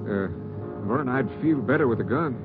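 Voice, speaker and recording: An American actor playing an edgy, wary jailbird in a 1940s radio crime drama, with a nervy, suspicious manner. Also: male voice, mid-range voice, lively intonation, measured pace. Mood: uneasy. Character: edgy male jailbird, the bomber's wary brother. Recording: dull old radio recording, loud music.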